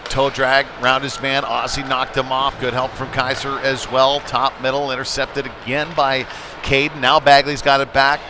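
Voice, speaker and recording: A man commentates live on a hockey game at an ordinary male pitch. His delivery is conversational and can lift into excitement as play develops. Clear noise from the sports venue sits behind his voice. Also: moderate pace.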